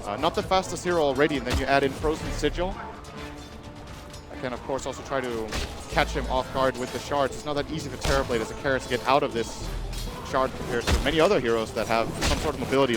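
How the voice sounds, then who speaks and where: A man giving measured, explanatory analysis of strategy as an esports co-commentator. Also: medium pitch, over music.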